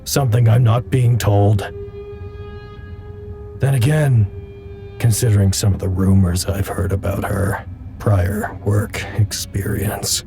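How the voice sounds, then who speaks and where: A man playing an anxious, introspective staffer in an audio drama, speaking a measured, confessional log. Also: on the low side, fairly even intonation, gravelly, dark tone, soft background music. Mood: pensive, suspicious.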